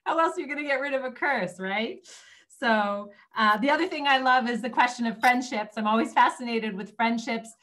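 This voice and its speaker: A woman with a bright, forceful voice pitched a bit high. She speaks as a judge over a video call, giving casual critique in an effusive, encouraging way. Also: brisk. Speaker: guest judge giving feedback.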